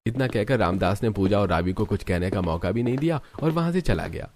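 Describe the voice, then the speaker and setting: Male narrator, low register, scripted storytelling for a family cartoon. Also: lively intonation, a little fast, a little mellow.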